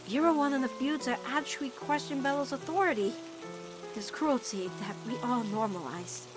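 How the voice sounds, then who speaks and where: A woman voicing a caring, steadfast teenage witch girl in an animated-style comic dub, reasoning firmly and tenderly. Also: high voice, moderate pace, over music, lightly acted.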